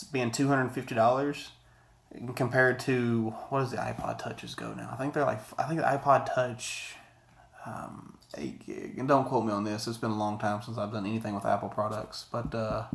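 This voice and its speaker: A man's voice: medium pitch, creaky. American man giving a casual, rambling review. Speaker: audio gear reviewer. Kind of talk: casual video product review. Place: small office room indoors.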